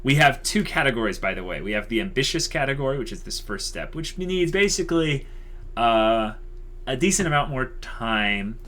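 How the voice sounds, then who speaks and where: A man's voice: mid-range voice, expressive, normal speed, fairly bright tone. A man co-hosting a YouTube channel, chatting casually and unscripted in an enthusiastic, emphatic way, repeating himself for emphasis.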